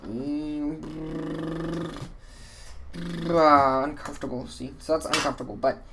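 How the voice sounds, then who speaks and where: A man's voice: a little high, flat delivery, takes it slowly, background noise. A man hosting a casual how-to walkthrough, talking in a rambling, offhand way and correcting himself often, his voice tired and a little worn. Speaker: tutorial host.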